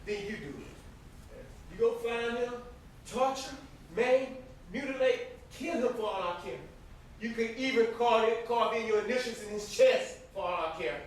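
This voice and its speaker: Man acting an anguished brother, very high voice. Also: unhurried. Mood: exasperated, pleading. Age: young adult. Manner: earnest, pleading. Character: anguished, conscience-stricken brother resisting revenge. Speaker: student stage actor. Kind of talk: stage play acting. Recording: distant, very echoey, noisy stage recording.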